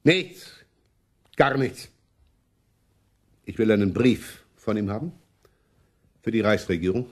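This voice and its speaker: An actor playing a cautious, self-protective prince in a German radio play, giving orders in a curt, insistent way. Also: male voice, on the low side, expressive, slow pace, pauses often. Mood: wary, commanding. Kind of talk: German radio drama acting.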